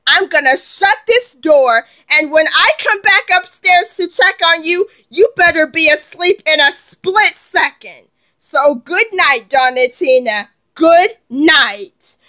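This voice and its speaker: A woman's voice: high voice, lively intonation, takes it slowly, sharp tone, exaggerated voice. A woman playing a firm mother who lectures her children. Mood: stern scolding. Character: stern, scolding mother.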